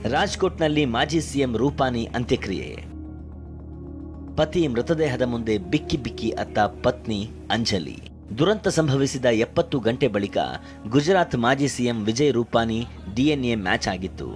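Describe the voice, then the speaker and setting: A man's voice: mid-range voice, animated, measured pace. A man reads scripted news narration as a voice-over reporter. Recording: loud music bed behind voice. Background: prominent news background music.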